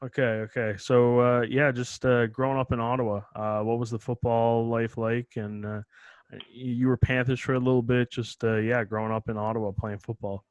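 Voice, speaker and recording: Level-voiced podcast host, dry sound. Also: male voice, mid-range voice, little pitch movement, moderate pace, clear, resonant tone, no room sound, muffled recording. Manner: casual, many "uh" fillers, rambling questions. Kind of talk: casual podcast interview.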